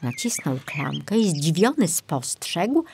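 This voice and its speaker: A woman narrating a children's storybook in an expressive, deliberate style. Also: sits low, vocal fry, slight background noise. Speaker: audiobook narrator.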